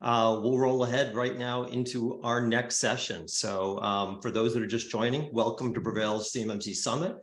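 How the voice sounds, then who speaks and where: A man hosting and moderating an online summit session over a video call, friendly and unhurried, with many fillers. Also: medium pitch, steady tone. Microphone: remote video call. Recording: roomy, echoey sound.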